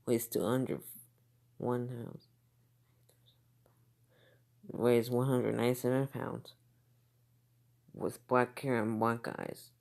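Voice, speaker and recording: An emergency alert announcer reading a scripted warning in a formal, flat manner. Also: mid-range voice, takes it slowly, gravelly, pauses often.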